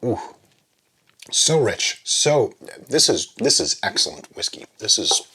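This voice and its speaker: A man hosting a drinks review vlog, chatting casually and explaining as he muses aloud, with pauses and little check-ins. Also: lowish voice, sing-song, pushed and bright, slightly rough. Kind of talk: casual chat, tasting review.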